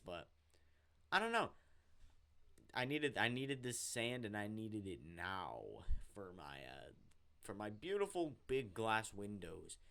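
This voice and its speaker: A man with a raspy voice who says he is getting sick, rambling and unsure of himself, narrating his game in a casual voice-over through a headset mic. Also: lowish voice, bright, forceful tone. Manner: rambling, self-interrupting, unsure. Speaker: gaming YouTuber narrating. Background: game music.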